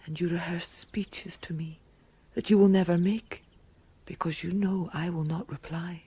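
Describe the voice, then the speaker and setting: An actress in a radio drama playing an intense, willful mute pianist voicing her secret thoughts, often dropping to a breathy whisper. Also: on the low side, fairly even intonation, moderate pace, warm tone. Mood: yearning, sensual. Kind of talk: radio drama inner monologue.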